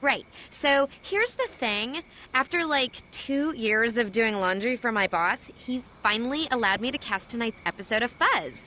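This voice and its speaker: An actress playing an eager, slightly flustered casting assistant in a video game cutscene. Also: female voice, a little high, expressive, measured pace, hardly any pauses, sharp tone, slight background noise. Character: perky, apologetic female casting assistant, eager to please.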